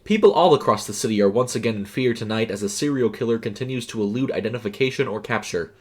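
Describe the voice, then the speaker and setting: A man playing a television newscaster with a flat, frank, formal delivery in an audio story. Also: on the low side, fast, lightly acted. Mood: grave, frank. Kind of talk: formal TV news report.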